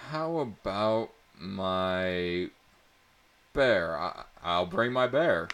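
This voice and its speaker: A man's voice: low-pitched, expressive, drawn-out words, a bit bright, heavily acted. Man playing an awkward, hesitant teenage boy. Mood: eager, awkward. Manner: hesitant, stammering.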